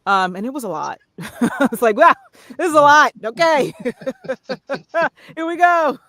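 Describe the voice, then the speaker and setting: A woman's voice: high voice, expressive, takes it slowly, fairly bright tone, no room sound. A woman guest on a podcast, chatting casually about narration work and acting out little examples as she explains. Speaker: guest, voiceover artist. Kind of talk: casual interview talk.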